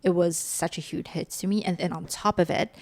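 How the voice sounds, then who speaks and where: Young woman, low gravelly voice, chatty, filler-heavy, dry close mic. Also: steady tone, fairly quick, darkish tone, no room sound. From South Africa. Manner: chatty, filler-heavy, ends points with "right?". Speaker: podcast co-host. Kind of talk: casual chat.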